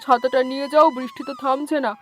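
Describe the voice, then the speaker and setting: A woman's voice: high-pitched, fairly even intonation, quick pace, fairly bright tone, soft background music. A woman playing an affectionate, doting older village mother in a cartoon family story. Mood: caring. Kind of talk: animated family story dialogue.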